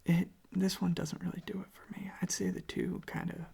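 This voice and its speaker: An ASMR host speaking in a soft, breathy, husky whisper, rambling casually and gently in an informal, hushed way. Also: medium pitch, monotone, a little fast.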